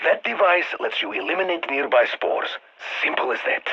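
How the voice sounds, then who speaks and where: A stern Russian squad commander for a game, husky and gravelly. He gives clipped orders with dry wit over a dull radio channel, his voice pitched slightly high for a man. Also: expressive, normal speed, bright, forceful tone, full character voice. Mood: instructing, dry. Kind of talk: scripted game voice lines, mission briefing.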